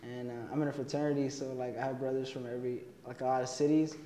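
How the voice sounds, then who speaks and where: A man's voice: medium pitch, quick pace, roomy sound, big-room sound, a little hiss or hum. A young man from Texas, a college student, answering interview questions casually with lots of fillers and restarts. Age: young adult. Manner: relaxed, many fillers and restarts. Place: indoor room, plain wall.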